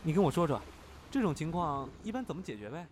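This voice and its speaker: A man's voice: fairly high pitch, very animated, quick pace, a little mellow, slight background noise. A man playing a chatty, over-familiar young bachelor driver in an audio drama.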